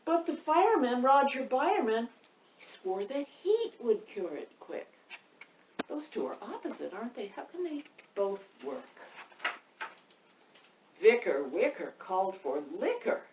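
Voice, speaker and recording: Expressive woman storyteller in a roomy space. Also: on the high side, measured pace. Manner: addresses young listeners with questions. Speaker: author and storytime host. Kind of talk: children's picture-book read-aloud. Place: indoor room by a wood stove. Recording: roomy, distant mic, faint noise.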